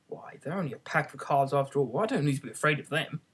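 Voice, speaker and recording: Man as young storybook girl, higher pitch, polite, plucky, lilting delivery. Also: expressive, fast talker, fairly bright tone, breathy edge. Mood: musing.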